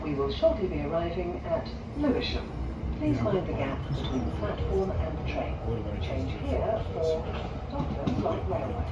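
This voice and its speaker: British woman on train announcements, lowish voice, echoey and far off, formal. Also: very animated, moderate pace, muffled recording. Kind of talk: scripted public transport announcements. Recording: echoey, far from mic, loud vehicle noise. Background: loud train noise.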